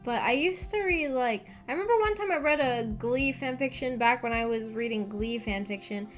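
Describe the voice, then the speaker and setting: A woman's voice: a little high, animated, moderate pace. Young woman chatting casually over music. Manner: rambling, thinking aloud, answering chat. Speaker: streamer, host of a visual novel book club. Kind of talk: casual livestream chat with viewers. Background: background music.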